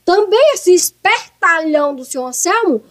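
A woman playing a shrill, irascible Northeastern Brazilian mother-in-law. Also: animated, normal speed. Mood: indignant, exasperated. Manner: scolding, exclamatory. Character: irascible, domineering middle-aged mother-in-law, loud and theatrical. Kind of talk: comic radio soap acting.

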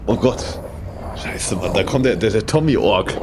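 A man's voice: lowish voice, animated, a little slow. A man hosting a casual, improvised audio play, talking in a colloquial style with exclamations. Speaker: podcast host and game leader. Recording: clear vehicle-like background noise.

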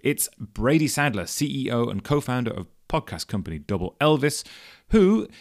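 A man's voice: mid-range voice, very animated, normal speed. Podcast host man with dry wit. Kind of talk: scripted intro and interview questions.

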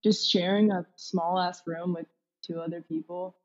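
A woman's voice: on the low side, fairly even intonation, moderate pace. A young woman from California, a podcast guest speaking candidly and bluntly with casual swearing. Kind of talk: casual chat. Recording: dull lo-fi audio.

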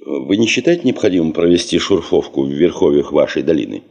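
Deep-voiced, self-assured expedition boss. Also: male voice, expressive, quick pace, gravelly, darkish tone, heavily acted. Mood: deliberate, insistent. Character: self-assured, probing middle-aged male expedition boss, hungry for gold. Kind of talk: Soviet radio drama acting.

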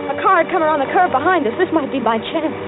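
High-voiced frightened captive, old radio. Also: female voice, lively intonation, fast talker. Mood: anxious recounting. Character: frightened captive woman, pleading and narrating her ordeal. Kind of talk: old-time radio thriller acting, first-person narration. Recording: noisy, tinny old radio recording.

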